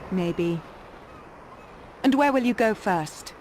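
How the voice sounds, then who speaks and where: A woman's voice: mid-range voice, expressive, takes it slowly, darkish tone, a little hiss or hum. A woman playing a skeptical, wry companion in a video game cutscene, with a teasing, cautioning manner. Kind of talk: dramatic scripted game cutscene dialogue. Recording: music under the dialogue. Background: music.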